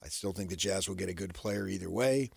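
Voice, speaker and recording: Low, gravelly American sports radio man. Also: brisk, no echo. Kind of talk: casual sports talk, reminiscing and opining. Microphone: close studio radio microphone.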